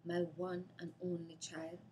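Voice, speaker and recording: A woman's voice: fairly low pitch, completely flat delivery, unhurried, a little mellow, a little hiss or hum, pitched below the performer's own voice, lightly acted, low-quality recording. A Jamaican woman voicing a tender dead mother who speaks lovingly and reassuringly in a storybook dream.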